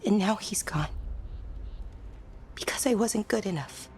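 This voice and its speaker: An actress playing a young, earnest female elf companion in a video game, grieving and guilt-ridden, with soft, halting delivery. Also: mid-range voice, a little hiss or hum, close to an everyday voice. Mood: grieving, subdued. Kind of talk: video game dramatic voice acting.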